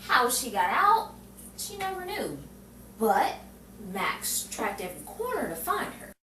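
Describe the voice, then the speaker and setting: A woman's voice: sits high, huge pitch swings, measured pace, bright, forceful tone, full character voice. A woman playing a plucky, wry 1940s newspaper reporter in a noir radio play, with theatrical, musing delivery. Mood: probing. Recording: echoey, distant, noisy room recording.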